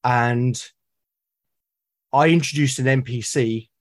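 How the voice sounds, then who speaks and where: A British man speaking as a podcast guest in casual conversation, haltingly and often repeating words as he thinks aloud. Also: mid-range voice. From Britain. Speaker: podcast guest, solo roleplaying gamer.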